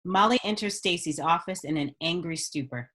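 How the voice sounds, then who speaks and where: A woman's voice: lowish voice, normal speed, fairly bright tone. A woman reading stage directions as scripted narration at a screenplay table read.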